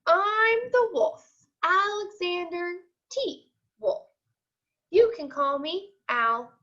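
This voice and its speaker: Storybook wolf played by a woman: casual, defensive charmer, high and bright, lo-fi sound. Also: lively intonation, very slow, heavily acted. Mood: defensive, confiding. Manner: chatty, defensive. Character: self-excusing male wolf storyteller, casual and wily. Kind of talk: picture book read-aloud.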